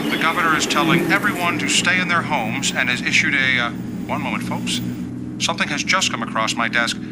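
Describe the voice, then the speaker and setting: An actor playing a shaken American TV newscaster in a video game, delivering an emergency bulletin in a formal, halting, grave manner. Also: male voice, on the high side, lightly acted, poor audio. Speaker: news anchor. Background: loud music.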